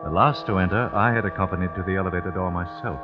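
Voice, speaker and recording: An actor playing a grave father who recounts a tragedy in a measured, somber way, in the style of old radio drama. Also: deep voice, close to an everyday voice. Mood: grim, somber. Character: grave father grimly recounting his son's elevator tragedy. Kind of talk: 1940s radio drama narration. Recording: muffled old radio recording with music. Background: dramatic music.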